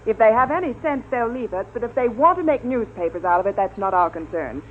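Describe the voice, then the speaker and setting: Woman playing bossy space commander lady, old radio drama, formal, lots of pitch movement, dull hissy sound. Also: lively intonation, a little fast, lightly acted. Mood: businesslike. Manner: crisp, authoritative, formal. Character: brisk, stern female space expedition commander. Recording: muffled, hissy vintage radio recording.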